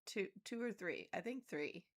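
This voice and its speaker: A woman's voice: medium pitch, lively intonation, moderate pace, a crowd in the background. A woman playing a tabletop game, chatting casually with her group. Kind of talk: casual chat.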